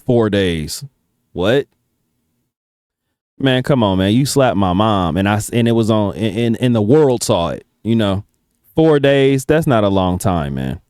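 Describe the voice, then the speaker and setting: A man's voice: lowish voice, animated, unhurried, darkish tone, clear, resonant tone, no room sound, studio quality. An American man giving casual reaction commentary in colloquial, slangy speech.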